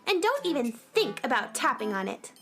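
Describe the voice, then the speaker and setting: A very high, swooping girl's voice, full of indignant pluck and earnest emphasis. She is a young space adventurer in a children's radio drama, with music running behind her. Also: big pitch swings, moderate pace. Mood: earnest, defensive. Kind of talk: children's radio theater acting.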